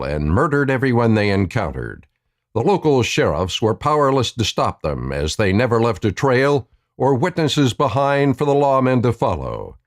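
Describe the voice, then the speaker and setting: A man playing the stirring, declamatory announcer and narrator of an old-time western radio serial. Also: medium pitch. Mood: grave. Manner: dramatic, declamatory.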